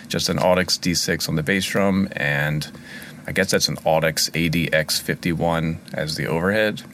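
A man co-hosting a podcast from a basement studio, easygoing and quick to laugh in casual chat. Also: low voice, fairly even intonation, a bit bright, slight background noise. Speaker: podcast co-host.

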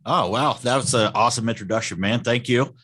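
A man's voice: medium pitch, brisk, fairly bright tone, husky. A man speaking as a guest on a casual podcast, friendly and hesitant, with many ums and uhs. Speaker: podcast guest, car audio shop owner.

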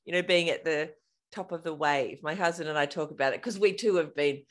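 A woman's voice: low-pitched, a little fast. A woman hosting a podcast, enthusiastic and chatty, often restarting her sentences as she asks questions. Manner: enthusiastic, chatty, trips over her words. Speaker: podcast host. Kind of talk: podcast interview.

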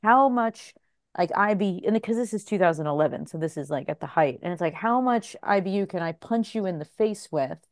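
A woman hosting a casual podcast chat, chatty and quick to laugh, asking follow-up questions. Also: lowish voice, expressive, no echo, clean studio sound. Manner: chatty, laughs easily, asks follow-ups. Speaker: podcast host.

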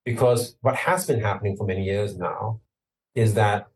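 A man from Singapore talks through his points at length, steady and explanatory, with sudden emphatic lifts. His voice sits on the low side. He sounds somewhat distant from the microphone. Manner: measured, explanatory, emphatic at times. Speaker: lawyer, interview guest. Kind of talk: interview answers.

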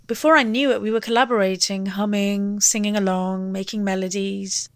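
A woman's voice: mid-range voice, steady tone, moderate pace, clear, resonant tone, dry recording, studio quality. A woman telling personal memories conversationally, leaning on key words as she goes. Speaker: interview guest, singer. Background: music.

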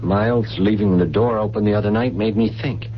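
An actor playing a desperate, cold-blooded plotter in a radio mystery drama, speaking in a terse, calculating way. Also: male voice, fairly low pitch, steady tone, fairly quick, lightly acted. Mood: cold, plotting. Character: desperate, scheming adult son turned cold-blooded plotter. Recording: muffled, hissy 1970s radio broadcast recording.

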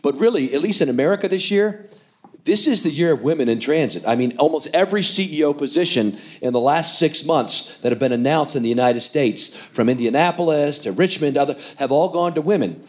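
A man's voice: a little high, lively intonation, brisk. Genial man moderating a panel.

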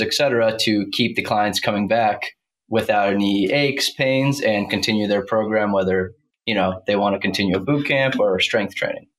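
A man from Croatia, a chiropractor and podcast guest, speaking plainly with some halting restarts. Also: lowish voice, a bit bright. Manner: plain, halting, uses fillers. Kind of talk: casual interview answers.

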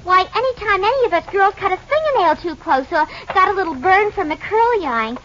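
An actress playing an excitable, chatty young showgirl in an old American radio drama, breathless and wide-eyed. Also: very high-pitched, a little fast. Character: excitable young showgirl musician, plain-spoken and impressionable. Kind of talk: 1940s-50s radio acting. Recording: muffled, hissy old radio recording.

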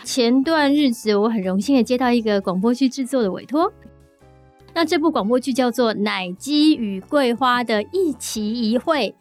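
A woman's voice: a little high, expressive, normal speed, faint music behind. A woman with a Taiwanese Mandarin accent hosting a casual, chatty podcast in a playful, teasing way. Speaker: podcast host and audio drama studio head.

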